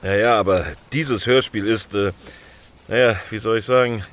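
An actor playing a dismissive, hedging program boss in an audio drama, hesitant and patronising. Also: male voice, lowish voice, steady tone, moderate pace, a bit bright, lightly acted. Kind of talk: naturalistic audio drama acting.

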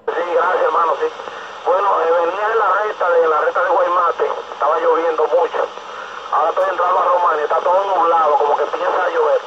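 A man's voice: on the high side, steady tone, quick pace. A man with a Dominican accent chatting casually and familiarly as a ham radio operator over a two-way radio. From Dominican Republic. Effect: two-way radio transmission. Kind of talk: casual ham radio exchange with call signs. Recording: muffled radio signal, loud noise.

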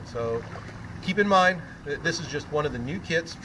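A man's voice: fairly high pitch, animated, normal speed, background noise. An older man standing in shallow water outdoors, explaining a boat kit in a casual, conversational way with frequent fillers. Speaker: product demonstrator. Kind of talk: casual product demonstration. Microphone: camera mic at medium distance.

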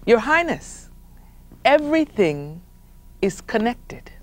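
A woman voicing a calm, solemn wise man who speaks quietly and slowly to his chief. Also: a little high, pitched above the performer's own voice.

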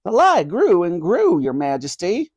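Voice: mid-range voice, huge pitch swings, a little slow, a little mellow, muffled recording, pitched above the performer's own voice, heavily acted. A reader voicing a kindly, apologetic elderly grandmother who speaks earnestly and politely in a children's story. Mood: sheepish, confessing.

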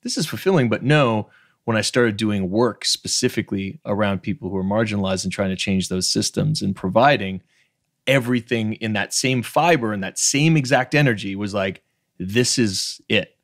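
A man's voice: on the low side, normal speed, no echo, studio quality. A man in his thirties from Canada's Maritimes hosting a podcast interview over a video call, talking frankly and emphatically and swearing freely. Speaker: podcast host. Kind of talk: casual interview chat. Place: home room with bookshelves, video call. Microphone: studio microphone on stand.

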